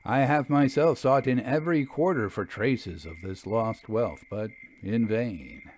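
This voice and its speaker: A man reading the dialogue of a thoughtful, reserved storybook gentleman in a measured, formal manner. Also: medium pitch, natural voice. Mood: thoughtful, doubting. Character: thoughtful, reserved gentleman narrator in love, male. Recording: clear background noise.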